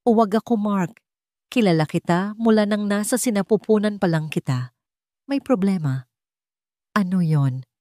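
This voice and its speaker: A woman puts on a fierce older matriarch for an audiobook drama. She is insistent, scolding and protective of her family. The voice has a dark tone in a dry recording. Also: mid-range voice, moderate pace, pauses often, no echo, close to an everyday voice. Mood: worried, insistent. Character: fierce, sharp-witted, protective older mother and grandmother. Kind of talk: dramatic audiobook character dialogue.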